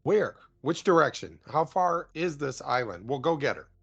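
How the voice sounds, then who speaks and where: An actor playing a kind-hearted, concerned sailor in a radio drama, speaking in an earnest, casual modern way. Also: male voice, fairly high pitch, big pitch swings, normal speed, fairly bright tone, slightly rough, highs cut off, exaggerated voice. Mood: urgent, concerned.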